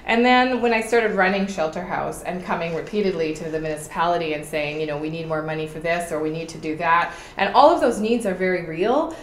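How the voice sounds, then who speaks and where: A middle-aged woman with a low voice talks with passion and emphasis, answering interview questions. The sound is roomy, with a faint hiss underneath. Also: a little fast, few pauses. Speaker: political candidate. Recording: echoey room with faint noise.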